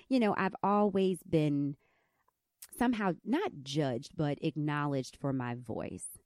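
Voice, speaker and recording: A woman in her thirties with a squeaky country voice, telling a candid personal story as host of her podcast. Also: slow pace, dry recording, clean studio sound. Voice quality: squeaky, high voice. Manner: candid, animated storytelling with laughs. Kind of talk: personal reflective podcast monologue.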